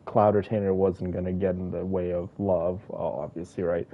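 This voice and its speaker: A man's voice: sits low, flat delivery, normal speed, narrow, tinny audio. A man giving casual, rambling, sentimental commentary as a game streamer.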